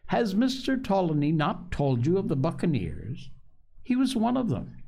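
An earnest young cabin boy, acted by a grown male narrator in an adventure audiobook. He is polite and excitable, pitched up and lively. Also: normal speed, vocal fry, full character voice. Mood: eager, urgent. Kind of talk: audiobook character voice.

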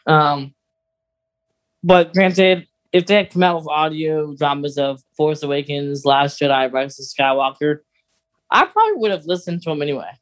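A podcast co-host in casual film discussion, talking haltingly and thinking out loud. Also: medium pitch, lively intonation.

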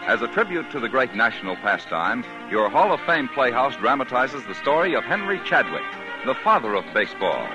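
Lively 1950s American radio announcer, male. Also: mid-range voice. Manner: formal, emphatic. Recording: dull vintage radio, music behind.

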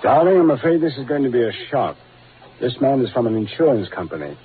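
A male actor plays a suspicious, protective husband in an old radio play. He is urbane but curt, with a mid-pitched voice. The recording is narrow and clearly noisy. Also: big pitch swings, fast, close to an everyday voice. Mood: wary, sardonic. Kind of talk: 1940s radio acting.

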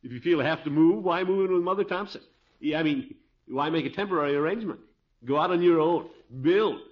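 A man's voice: fairly high pitch, fast talker, lightly acted. Middle-aged scheming uncle , male actor, 1950s radio comedy: blustery, exclamatory, self-satisfied, on a dull old recording. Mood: coaxing, hearty.

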